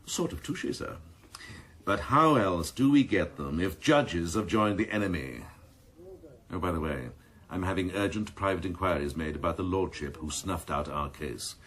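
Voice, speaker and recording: An actor playing a cynical, scheming senior detective in a radio drama, talking with dry, sneering mock courtesy. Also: male voice, low voice, expressive, moderate pace, fairly bright tone. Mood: dry, sly. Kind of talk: 1990s radio drama acting.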